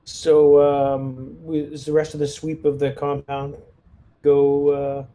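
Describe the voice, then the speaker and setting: Mellow-voiced man playing a tabletop game. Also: fairly high pitch, completely flat delivery, slow pace. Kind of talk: casual in-character game chat.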